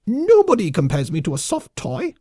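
A shrill furious lemming colonel. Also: male voice, wildly swooping pitch, dark tone, no room sound, clean studio sound, heavily acted. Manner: shrill, hissing, drawn-out threats. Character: furious shrieking male lemming colonel, petty and vicious.

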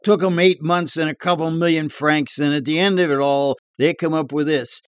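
A man's voice: fairly high pitch, fairly even intonation, normal speed, smooth, no room sound, voice pushed up, close to an everyday voice. Guy doing a cocky bar joker, raised voice, drawly and slangy, storybook character, close dry sound. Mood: cocky storytelling. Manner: emphatic, drawling, conspiratorial, slangy. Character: brash barroom joker spinning a dirty yarn. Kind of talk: audiobook character dialogue.

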